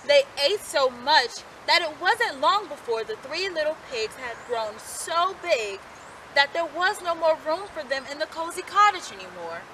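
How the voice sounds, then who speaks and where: High-voiced lady reading three pigs story, very lively, slow, street noise behind. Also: low-quality recording. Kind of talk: children's story read aloud.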